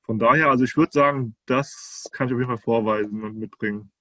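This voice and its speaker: A young man talks casually about himself, loose and slangy, sometimes hesitant. His voice sits at an ordinary pitch. The sound is dry, with no room echo. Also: steady tone, a little fast, a bit bright. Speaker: prospective doctoral candidate. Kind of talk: casual conversation.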